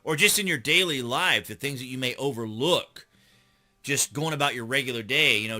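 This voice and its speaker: A man's voice: mid-range voice, pushed and bright. A middle-aged American man hosting a news commentary channel, reading and commenting in a conversational way that leans on key words.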